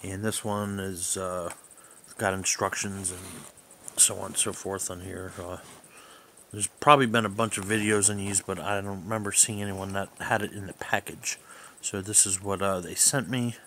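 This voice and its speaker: A man's voice: low-pitched, little pitch movement, normal speed, sharp tone, a little hiss or hum. A man giving a casual, unscripted product overview in a hesitant, rambling way. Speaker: hobbyist video reviewer.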